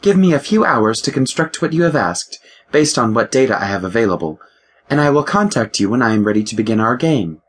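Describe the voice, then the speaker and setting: Calm, curious starship AI with a female voice, played by a man reading; pitched up, formal and precise. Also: fairly quick, husky. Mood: dry. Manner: formal, precise, dryly sarcastic. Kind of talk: audiobook character voice.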